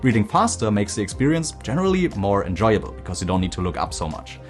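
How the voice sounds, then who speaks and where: A man narrating a scripted language-learning video in a clear, explanatory way. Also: fairly low pitch, big pitch swings, fast, faint music behind.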